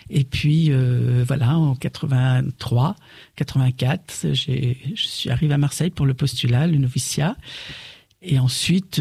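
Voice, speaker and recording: Gravelly, husky older nun from Lorraine. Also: female voice, low-pitched, dry recording, studio quality. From Lorraine, eastern France. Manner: gentle, searching for words with frequent hesitations and restarts. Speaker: nun, interviewee. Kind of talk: reflective personal testimony.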